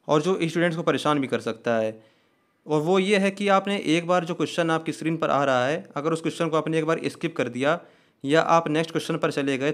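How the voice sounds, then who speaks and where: A man presenting an exam news update, explaining each point methodically, step by step. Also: on the high side. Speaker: host of an exam news channel.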